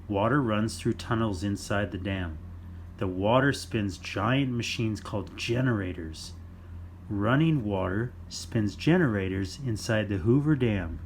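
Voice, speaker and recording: A man reading a children's nonfiction book aloud in simple, deliberate phrases for young listeners. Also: fairly low pitch, slight background noise. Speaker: children's storytime reader.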